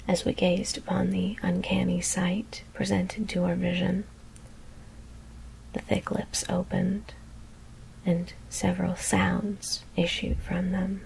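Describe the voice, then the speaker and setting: A woman whispers a horror story in a hushed, deliberate ASMR reading. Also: fairly low pitch, monotone, vocal fry, low-quality recording. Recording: faint background noise.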